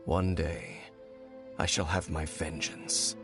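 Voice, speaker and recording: A grave, brooding ancient warrior. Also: male voice, fairly even intonation, creaky, exaggerated voice. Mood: bitter grave. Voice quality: low, measured and solemn. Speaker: game character. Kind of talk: video game voice acting. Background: faint game music.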